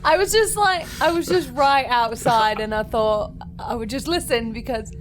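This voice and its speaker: A woman's voice: on the high side, animated, normal speed. A woman playing in a casual tabletop roleplaying game, with a sheepish, playful way of talking. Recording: noticeable background noise.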